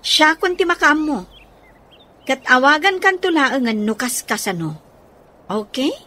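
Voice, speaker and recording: A woman's voice: sits high, very animated, a little slow, bright, forceful tone, heavily acted. A woman with an Ilocano accent acting in a radio drama as a caring, take-charge godmother. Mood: reassuring.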